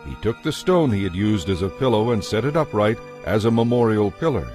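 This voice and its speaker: A man narrating scripture as a dramatized story, in an expressive, measured way. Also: lowish voice, faint music behind.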